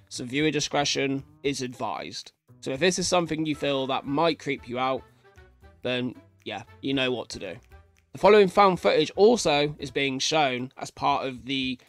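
A man in his thirties giving casual reaction commentary, musing aloud and talking informally to viewers. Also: a little high. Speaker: reaction video host. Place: indoors, seated at a desk.

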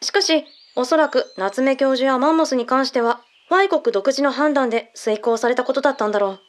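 An actress voices a female intelligence agent with a notably high voice. She is brisk and matter-of-fact, laying things out in blunt, mannish phrasing in the manner of a radio drama. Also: natural voice. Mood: matter-of-fact, explanatory. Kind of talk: Japanese radio drama acting.

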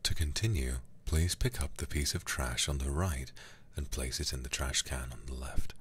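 A man livestreaming a game in an intimate ASMR style, hushed and often whispering close to the microphone. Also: rumbling low, normal speed, breathy edge. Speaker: livestream host playing a video game.

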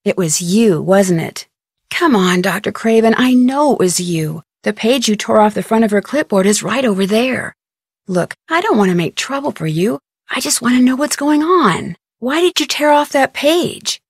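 An inquisitive young female sleuth from an adventure video game, with an American accent. Her voice sits fairly high and is recorded dry and close. She is polite but persistent, with earnest, expressive delivery. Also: normal speed, breathy edge, dry recording. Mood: earnest, probing. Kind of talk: scripted adventure game dialogue.

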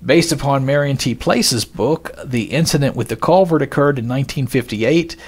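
A man giving scripted, expository narration, reading out quoted passages in a measured manner as a podcast narrator. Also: medium pitch, husky.

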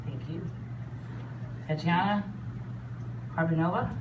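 A fairly low-voiced woman speaks formally and measuredly, reading each name with care. She is heard from far back in a roomy hall, captured on a phone among the audience. Clear crowd noise sits under her voice. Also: dull audio. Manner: formal, measured, reads names carefully. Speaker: immigration official presenting petitioners. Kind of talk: formal ceremony address, reading out names. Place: hall with large windows, seated audience. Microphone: phone recording from the audience.

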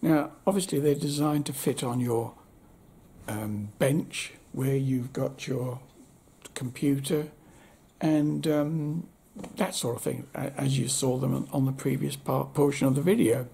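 A man in his seventies giving a casual, unscripted review with wry understatement, in a husky voice. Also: mid-range voice, steady tone, measured pace, mellow. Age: 70s. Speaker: audio equipment reviewer. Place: indoor room at home.

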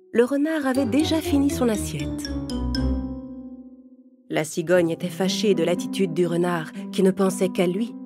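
A woman narrating a children's fable in a calm, clear storytelling manner. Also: lowish voice. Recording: music playing under narration.

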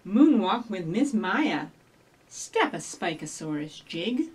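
A middle-aged woman hosting a children's storytime, giving simple instructions and reading rhymes to young children in an animated way, recorded by a camera a few feet away. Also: mid-range voice, slow, breathy edge. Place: small indoor office or library room with block walls. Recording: distant camera microphone.

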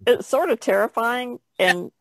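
A woman's voice: fairly high pitch, animated, unhurried, dry recording. A woman artist talking casually as part of a podcast panel. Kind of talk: casual chat.

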